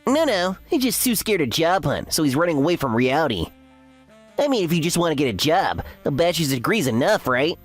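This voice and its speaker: The smug campus underclassman who sneers at his seniors in an animated drama, low-voiced and swooping, acted by a woman, music underneath. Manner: sneering, condescending. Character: smug, mocking young man, a university underclassman. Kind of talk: anime-style story dub acting.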